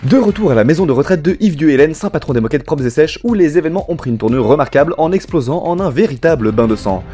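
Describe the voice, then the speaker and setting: A man presents a spoof news bulletin in a mock-formal, wordy register. He rattles through his script quickly, with faint music underneath. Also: fairly high pitch, lively intonation, warm tone. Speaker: host. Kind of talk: scripted parody news narration.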